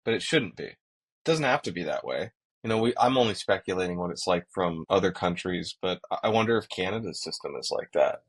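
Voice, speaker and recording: A man with a fairly low voice talks as a podcast co-host. He explains things earnestly in a casual, conversational style, like a working pilot speaking to listeners. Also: lively intonation, fairly quick, husky.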